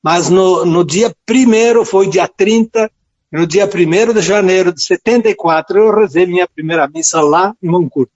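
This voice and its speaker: Elderly southern Brazilian priest, high-pitched voice. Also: male voice, darkish tone, lo-fi sound. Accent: southern Brazilian, Rio Grande do Sul. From rural southern Brazil. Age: late 70s. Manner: halting, repeats words, devout and earnest. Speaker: priest and friar, interview guest. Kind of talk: reflective personal storytelling in a radio interview.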